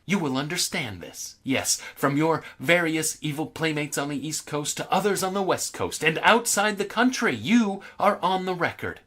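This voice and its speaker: A man's voice: fairly high pitch, animated, sharp tone. Menacing, contemptuous male blackmailer, acted by a man. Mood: scornful accusation. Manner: accusatory, deliberate, threatening. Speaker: voice actor. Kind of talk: dramatic reading of a threatening letter.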